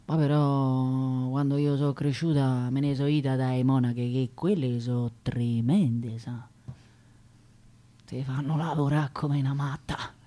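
A woman's voice: very deep voice, fairly even intonation, a little slow, warm tone. An actress playing a chatty, irreverent working-class woman with a Roman accent, telling anecdotes colloquially in a radio drama. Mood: weary, bitter. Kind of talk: naturalistic Italian radio drama acting.

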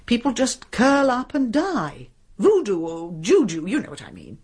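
A middle-aged English woman novelist in a British radio drama. She is scatty and opinionated, with a fairly high, husky voice. Her delivery is lively, rising and falling as she exclaims. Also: unhurried, full character voice. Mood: musing, fretful.

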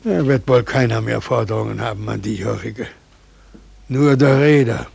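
An actor playing a world-weary, sardonic harbour official with a gravelly, husky voice in a 1940s German radio play. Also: male voice, medium pitch, normal speed, a little mellow, no echo. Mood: wry, musing.

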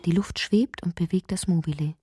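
An actress playing a dreamy literary narrator in an audio drama, musing in repetitive, incantatory poetic fragments. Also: female voice, lowish voice, normal speed, dark tone, soft background music, lightly acted. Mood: light, floating. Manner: incantatory, repeats phrases.

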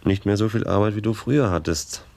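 An actor playing a domineering, scheming police inspector in a radio play, needling and coaxing with leading questions. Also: male voice, fairly low pitch, brisk, gravelly, a little mellow. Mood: coaxing, insinuating.

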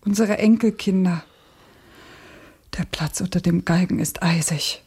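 A woman's voice: lowish voice, unhurried, a little mellow, slightly rough, full character voice. An actress playing an anguished, bitterly disillusioned young woman revolutionary in a radio play, speaking breathily and intensely, often near a whisper. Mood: anguished, despairing. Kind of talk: German radio play acting.

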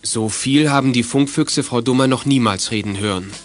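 A man narrating a 1980s children's detective radio drama in a composed, measured storytelling manner. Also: mid-range voice, close to an everyday voice. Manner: measured, clearly scripted storytelling.

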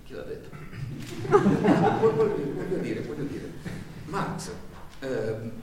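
A man's voice: a little high, wildly swooping pitch, extremely slow, a little mellow, full character voice. An actor playing a rambling, earnest working-class man in a stage monologue, stammering, repeating himself and searching for words. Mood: confused, insistent. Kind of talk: theatrical stage monologue in a radio broadcast. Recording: very echoey stage, distant mic, faint noise.

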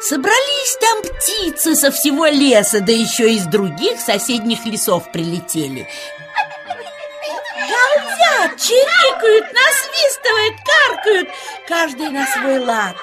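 A woman's voice: high voice, wildly swooping pitch, takes it slowly, loud music behind the voice, full character voice. A woman voicing a kindly auntie squirrel who tells tales with rapturous expression in a children's audio play. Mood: storytelling.

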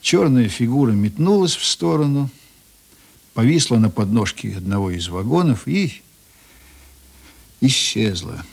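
An actor playing a reflective Soviet policeman who narrates in a radio drama, with a husky voice. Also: mid-range voice, very animated, unhurried, long pauses, full character voice. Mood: tense, reflective. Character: reflective Soviet policeman recalling a night shootout.

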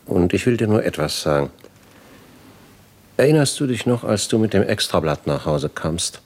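An actor playing a weary, reflective older gentleman in a 1950s radio drama, grave, philosophical and articulate. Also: on the low side, animated, normal speed.

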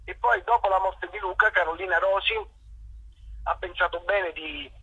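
Man on a muffled phone line, husky and high. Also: moderate pace, sharp tone. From Naples. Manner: thoughtful, searching for words with fillers. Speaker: actor guest. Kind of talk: phone interview. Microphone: telephone.

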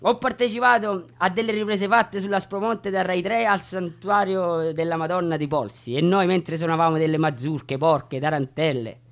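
A man's voice: high voice, moderate pace, hardly any pauses. A man reading his life story aloud in a plain, earnest way, stumbling over words. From Reggio Calabria, Italy. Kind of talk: autobiographical monologue, read aloud.

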